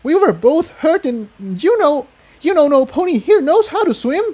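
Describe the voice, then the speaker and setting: A man's voice: very high-pitched, very animated, normal speed, a little mellow, voice pushed up. A man voicing a timid, worried female pony who pleads on behalf of her friend in a story reading. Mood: pleading.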